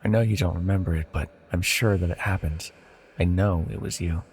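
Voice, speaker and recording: A man playing an immortal banished villain sorcerer in a fantasy audio roleplay, speaking softly and formally with condescending, possessive tenderness and a gravelly, husky voice. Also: sits low, fairly even intonation, fairly quick, exaggerated voice. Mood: teasing, condescending. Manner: soft-spoken, condescending, theatrically formal. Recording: long hall-like reverb.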